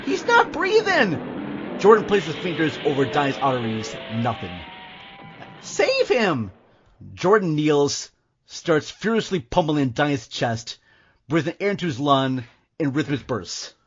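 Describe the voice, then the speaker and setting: A man's voice: a little high, expressive, moderate pace, lo-fi sound, over music. A man hosting and narrating an audio drama from a script in a dramatic style. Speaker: podcast host and narrator.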